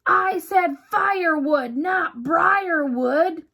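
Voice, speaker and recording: A woman's voice: extremely high pitch, drawn-out words, pushed and bright, voice pushed up, full character voice. Woman voicing a snappish, bossy scruffy varmint. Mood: angry. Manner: snarling, sarcastic, barking orders. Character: scruffy bad-tempered varmint, male, gruff and bossy.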